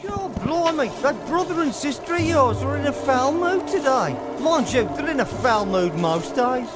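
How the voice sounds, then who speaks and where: Folksy kindly servant, chatty, high voice, fantasy game, music behind. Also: male voice, expressive, normal speed. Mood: gossipy. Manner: folksy, gossipy.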